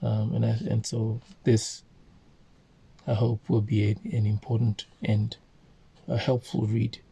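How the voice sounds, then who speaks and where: A man from Zambia reading a book aloud and commenting on it, halting often with fillers and self-corrections. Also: lowish voice, flat delivery, creaky, dark tone, slightly rough.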